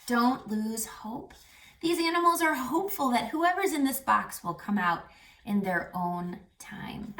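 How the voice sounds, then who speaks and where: A woman reading a picture book aloud for children, animated, pausing to ask questions and dropping to hushed tones. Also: fairly high pitch. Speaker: read-aloud storyteller for children. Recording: roomy echo, distant mic, music behind.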